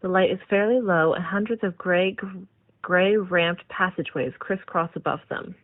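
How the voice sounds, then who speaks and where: A woman's voice: lowish voice, normal speed, phone-quality sound. A woman reading scripted scene narration for an audio drama, describing action as a narrator.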